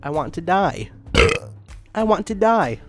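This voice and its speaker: A man narrates a comic story aloud with incredulous asides. His slightly high voice swings widely in pitch at a slow, halting pace, over music. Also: big pitch swings. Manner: incredulous, often breaking from the script. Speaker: fan fiction reader.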